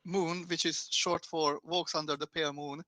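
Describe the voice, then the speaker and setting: A man's voice: on the high side, flat delivery, normal speed, bright, forceful tone, no echo. A man playing a tabletop game who introduces his character playfully. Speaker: player.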